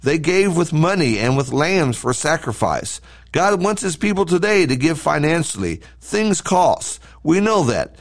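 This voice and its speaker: A man reading a scripted devotional in a sermon-like style, speaking earnestly and deliberately as a podcast host and preacher. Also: fairly high pitch, very animated.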